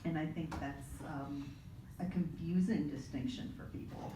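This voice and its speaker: A woman panelist speaking in an animated way, acting out quick asides as she talks. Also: sits low, background noise. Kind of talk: panel discussion. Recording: echoey room audio from far off.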